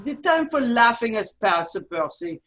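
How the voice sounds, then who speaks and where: A menacing agent of the French Revolution, acted by a woman in a radio drama table read. The voice is fairly high. The delivery is clipped, commanding and coolly formal. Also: sing-song, normal speed, a bit bright. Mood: triumphant. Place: remote virtual table read.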